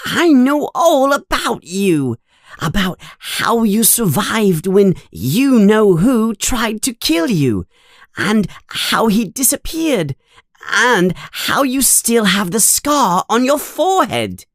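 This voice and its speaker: A man voicing a small, nervous schoolboy fan with a high, thin voice and an eager, gushing manner in a storybook reading. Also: huge pitch swings, slow, no room sound, studio quality, heavily acted. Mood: excited.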